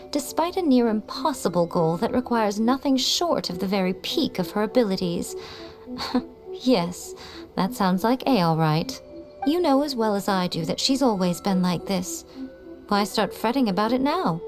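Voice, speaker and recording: A woman's voice: medium pitch, natural voice. A woman as sly, teasing shrine familiar. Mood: wry exasperation. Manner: teasing, haughty, knowing, measured. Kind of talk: video game character acting.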